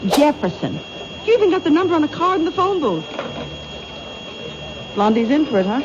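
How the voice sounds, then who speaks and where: Breezy, swooping high-voiced diner witness. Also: female voice, big pitch swings, a little fast. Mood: chatty, pleased. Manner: talkative, breezy, interrupting. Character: chatty, self-pleased female diner witness. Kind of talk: old-time radio mystery acting. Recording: noisy, muffled old radio broadcast.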